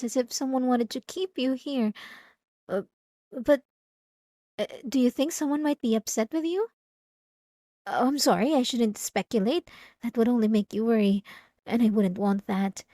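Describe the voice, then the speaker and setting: High-voiced, sweetly obsessive church girl, acted by a woman. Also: dark tone, no room sound, clean studio sound, close to an everyday voice. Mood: feigned concern. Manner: hesitant, halting, cloyingly apologetic, feigned innocence. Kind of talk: ASMR roleplay drama, addressed to the listener.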